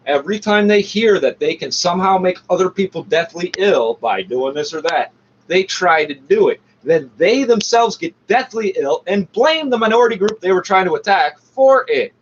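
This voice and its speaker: A man's voice: high-pitched, lively intonation, moderate pace. A man ranting off the cuff in a combative, scornful, profane way as he comments on a broadcast. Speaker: commentator reacting to a radio show. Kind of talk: off-the-cuff rant over a played broadcast. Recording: muffled, faintly noisy lo-fi recording.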